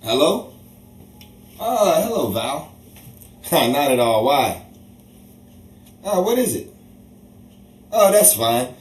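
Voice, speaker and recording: A man's voice: fairly high pitch, big pitch swings, slow, long pauses. A man acting an exasperated, teasing suburban husband in a 1950s-style radio sitcom, sarcastic and emphatic. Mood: friendly, agreeable. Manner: sarcastic, emphatic, repeats words for effect. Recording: roomy, distant, noisy home recording.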